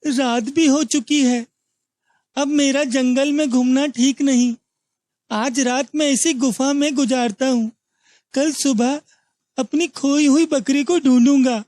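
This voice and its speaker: A man voicing a simple, naive young village goatherd in an animated moral story, innocent and full of wonder. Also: extremely high pitch, slow, dry recording, clean studio sound. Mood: resolute.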